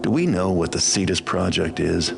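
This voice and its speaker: Very deep, gravelly, sardonic master thief. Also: male voice, animated, normal speed, full character voice. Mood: wry, guarded. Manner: dry, sarcastic, world-weary. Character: cynical, sardonic master thief, gruff loner. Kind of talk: video game cutscene acting and narration. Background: game soundtrack music.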